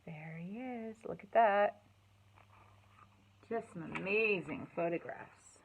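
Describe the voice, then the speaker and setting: A woman hosting a children's storytime, reading aloud and chatting in an animated, encouraging way with simple words for young listeners. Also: mid-range voice, slow pace, vocal fry, a little hiss or hum. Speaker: children's librarian and storytime host.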